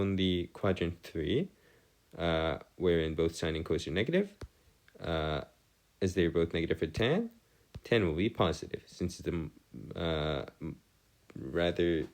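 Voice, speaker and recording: A man giving an improvised lesson as a tutor, speaking in formal, bookish wording with a halting, hesitant delivery and frequent pauses. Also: low-pitched. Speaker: math tutor. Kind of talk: improvised lesson explanation on trigonometry.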